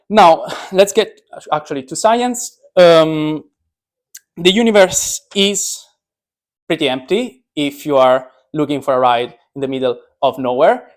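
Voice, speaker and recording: Joking young man giving an informal science talk. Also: a little high. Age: young adult. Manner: joking, self-deprecating. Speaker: speaker, physics PhD student.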